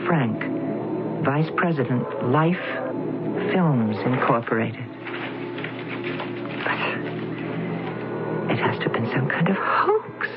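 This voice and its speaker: An actor in a 1970s radio drama playing a man who reads a letter aloud and thinks it over in a deliberate, musing way. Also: on the high side. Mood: puzzled. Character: man reading a mysterious letter aloud, puzzled. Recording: tinny, phone-like old radio broadcast with loud music.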